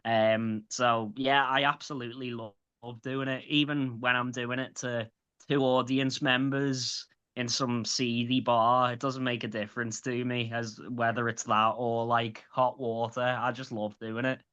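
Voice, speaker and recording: Late-20s comic with light Scouse accent, sharp bright voice, sweary chatty guest, dull lo-fi audio. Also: male voice, mid-range voice, little pitch movement, a little slow, smooth. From grew up in Liverpool. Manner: chatty, sweary, many restarts. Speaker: stand-up comedian guest. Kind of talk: casual interview chat.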